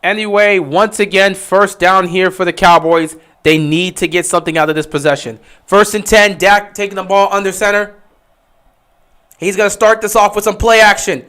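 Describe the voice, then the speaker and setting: A man giving casual live play-by-play commentary on a stream, getting excited and loud on big plays. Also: sits high, normal speed, clear, resonant tone. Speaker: livestream game commentator.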